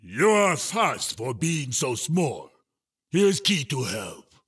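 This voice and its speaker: A man voicing a huge, boastful, childish cartoon chief in a kart racing game, raspy and loud, speaking in broken caveman-like phrases. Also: very animated, takes it slowly, distant mic, heavily acted. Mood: grudging, deflated. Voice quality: gravelly, raspy. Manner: broken caveman-like speech, loud booming exclamations. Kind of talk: exaggerated video game character acting.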